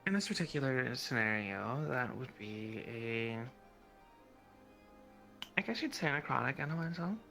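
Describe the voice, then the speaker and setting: A man playing a calm, knowledgeable guide in improvised role-play, explaining things in a measured way. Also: medium pitch, heavily acted. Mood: grave warning. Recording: clear music behind the voice. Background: background music.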